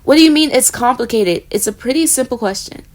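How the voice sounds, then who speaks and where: A young woman voicing a flustered, jealous roommate in a romantic audio roleplay, talking straight to the listener and trailing off into soft murmurs. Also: fairly high pitch, expressive, brisk, lightly acted.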